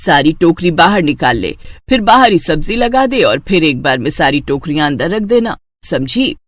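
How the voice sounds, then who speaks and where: A woman's voice: deep voice, very animated, normal speed, no room sound, clean studio sound, pitched below the performer's own voice. A woman voicing a thrifty older mother-in-law in a moral story cartoon, watchful and fond of nagging household advice. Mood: nagging, instructive.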